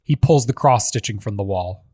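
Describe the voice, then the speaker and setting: A man's voice: fairly low pitch, expressive, normal speed, mellow, no room sound, clean studio sound. A man giving scripted narration, reading a screenplay's stage directions as the narrator of a table read.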